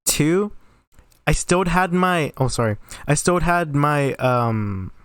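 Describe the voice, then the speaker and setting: A man's voice: on the high side, lively intonation, slow, a bit bright, clear, resonant tone, dry recording, studio quality. A teenage boy from Mexico with a light Mexican Spanish accent, chatting casually on a podcast, giggling often and restarting his sentences. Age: teens. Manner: giggly, rambling with many restarts. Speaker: podcast host. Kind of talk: casual chat.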